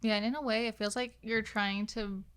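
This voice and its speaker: A woman's voice: on the high side, fairly even intonation, brisk, fairly bright tone, clean tone. A young woman talking casually and conversationally as a guest on a podcast. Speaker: podcast guest, game designer.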